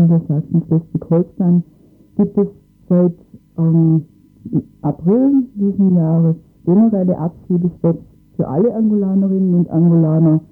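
A man's voice: sits high, narrow, tinny audio. A man explaining matters in a radio interview, hesitantly searching for his words. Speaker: interviewed expert on asylum cases.

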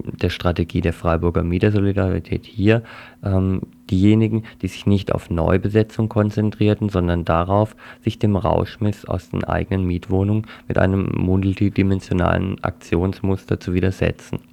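A man hosting radio news, reading items and interviewing in a measured way. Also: deep voice, gravelly, darkish tone. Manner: measured, with short listening murmurs.

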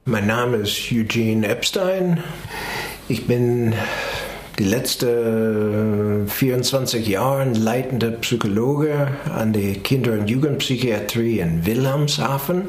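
A middle-aged American man whose first language is English, speaking slowly and hesitantly as he searches for his words. He is recorded in an echoey, roomy space. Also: mid-range voice. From New York, USA. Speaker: psychologist, interview guest. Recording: echoey room.

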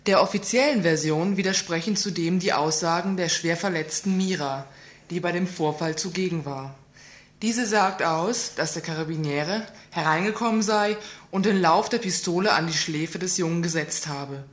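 A woman's voice: lowish voice, moderate pace. A woman reading a scripted report on a community radio news program, asking pointed rhetorical questions.

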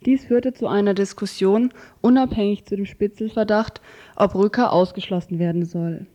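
Female presenter, mid-range, very mellow, scripted radio narration. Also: medium pitch, fairly even intonation, moderate pace, dull audio. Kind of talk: scripted narration introducing quotations.

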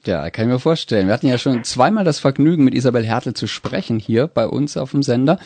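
A male radio host interviewing a guest, chatty and quick to laugh. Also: mid-range voice, expressive, a little mellow, no echo.

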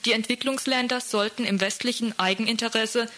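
A woman's voice: a little high, steady tone, brisk, pushed and bright. A woman presenting on radio, reading out a scripted news report.

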